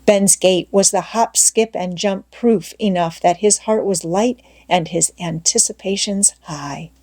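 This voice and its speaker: A woman narrating an audiobook, reading a scripted story aloud in a measured, expressive way. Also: medium pitch.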